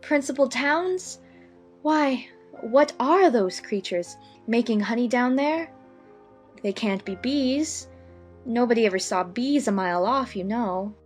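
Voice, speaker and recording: A woman's voice: a little high, lively intonation, slow, soft background music. A woman playing a curious, polite and slightly timid young girl who talks to herself in a dramatized audiobook. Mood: wondering.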